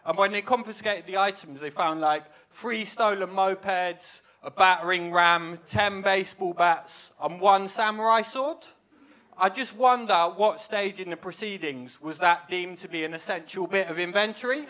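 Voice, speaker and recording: Young man, stand-up comedian, high voice, bright tone, wry deadpan, handheld mic, small club stage. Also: normal speed. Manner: wry, self-deprecating deadpan delivery. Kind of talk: stand-up set. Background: audience laughter.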